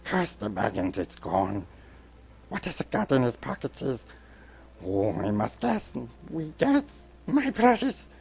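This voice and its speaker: Paranoid cave creature, raspy hiss, slow drawn-out muttering, cursing and whining, broken grammar, storybook drama. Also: male voice, medium pitch, huge pitch swings, darkish tone, pitched above the performer's own voice, full character voice. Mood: frantic, cursing. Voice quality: hissing, raspy, creaky. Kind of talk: dramatic audiobook reading.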